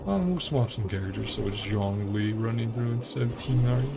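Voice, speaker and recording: Male streamer, mid-pitched with vocal fry, thinking aloud in loose, casual gameplay commentary. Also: fairly quick, very noisy. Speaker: video game streamer. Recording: game music behind the voice. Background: video game music.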